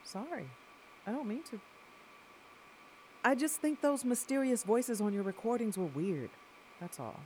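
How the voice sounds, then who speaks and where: A sardonic nervy woman in an audio drama, wry and halting, voice jumps around, bit of background noise. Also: fairly high pitch, low-quality recording. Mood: tentative, apologetic. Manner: hesitant, wry, expressive. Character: anxious, sardonic gig-worker woman, freshly suspended from her job. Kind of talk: naturalistic audio drama acting.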